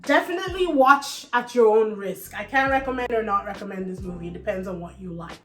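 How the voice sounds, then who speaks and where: A woman's voice: mid-range voice, brisk. Chatty woman reviewing movies, echoey room. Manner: chatty and animated, laughs often. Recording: echoey room with faint music.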